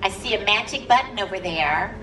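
A woman's voice: mid-range voice, animated, a little fast, over music. A woman hosting an outdoor night event on a handheld microphone, upbeat and coaxing as she talks to the crowd and a child. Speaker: event host on stage. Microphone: handheld mic through speakers, filmed from audience. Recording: echoey PA sound from far off, crowd noise.

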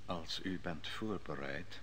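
An actor playing the prophet Daniel in an old radio drama, telling his story in a measured, solemn way. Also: low voice, fairly bright tone, slight background noise. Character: prophet Daniel, a calm, grave advisor. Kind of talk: 1950s-60s radio drama acting.

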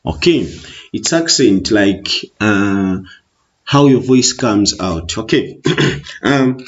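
Zambian male teacher with a Tonga-influenced accent, lowish husky voice over screen recording, repetitive patient delivery. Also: expressive. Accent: Zambian, Tonga-influenced English. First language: Bemba. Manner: explains slowly, repeats with many okays. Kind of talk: online exam walkthrough lesson. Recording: muffled lo-fi recording.

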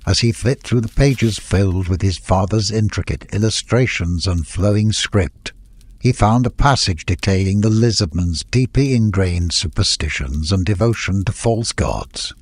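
Husky male audiobook narrator. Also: low voice, moderate pace, no echo, clean studio sound. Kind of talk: scripted story narration.

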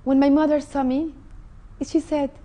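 A woman with a Mexican Spanish accent and a high yet mellow voice, talking earnestly and with feeling. She sounds middle-aged and speaks as a guest on a TV studio set. Her voice comes through close on a clip-on lapel mic. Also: level tone, moderate pace. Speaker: interview guest. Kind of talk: personal testimony.

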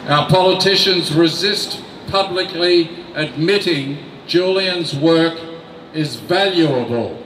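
An older man reading a prepared speech at an outdoor rally into a mic on a stand, in a slow, deliberate, emphatic way. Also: a little high, fairly bright tone, a little hiss or hum. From Australia. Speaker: rally speaker. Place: outdoor city square. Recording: echoey outdoor sound from a distant camera.

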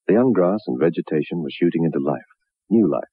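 A man narrating a 1960s radio horror drama as a grave, measured, ominous storyteller. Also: sits low, animated, slightly rough, dry recording. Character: grave male narrator of a macabre tale. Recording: muffled narrow-band old radio recording.

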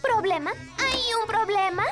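A woman's voice: extremely high pitch, a little slow, music in the background. A woman voicing a perky, proud, over-organized little girl shopkeeper in a cartoon, fussy and eager. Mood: brisk, uneasy. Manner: fussy, eager, insists everything is under control. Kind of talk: animated cartoon dub acting.

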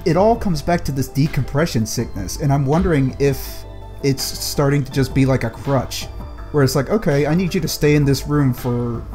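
A man's voice: mid-range voice, normal speed, a little mellow, faint music behind. A man speaking as a panelist in a casual group discussion. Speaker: podcast panelist.

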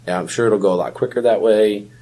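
Man, deep husky voice, casual chat, podcast co-host. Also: steady tone, quick pace, dark tone.

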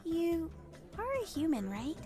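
Very high, sassy werewolf girl. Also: big pitch swings, measured pace, warm tone, faint music behind, heavily acted. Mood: scornful. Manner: sassy, drawling. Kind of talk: animated web roleplay acting.

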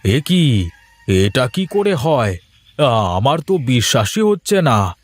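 A man playing a simple, good-natured village pumpkin seller in a cartoon, with folksy drawn-out exclamations. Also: mid-range voice, big pitch swings, husky, exaggerated voice. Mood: puzzled. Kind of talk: cartoon moral-story voice acting.

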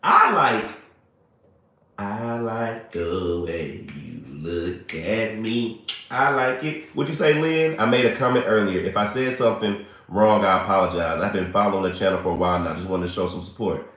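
Host type: animated man, a bit congested, low and lively pitch, chatting into a phone in a very echoey room. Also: normal speed. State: a little hoarse and congested. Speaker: livestream host. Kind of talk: casual livestream chat with viewers. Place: small bright room indoors. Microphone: phone at arm's length.

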